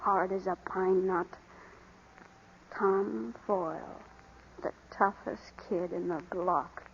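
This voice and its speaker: A woman's voice: fairly low pitch, steady tone, takes it slowly, slight background noise. An actress playing a plucky, tender young working woman and mother in 1940s radio drama style. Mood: proud, determined. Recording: muffled vintage radio recording, faint music.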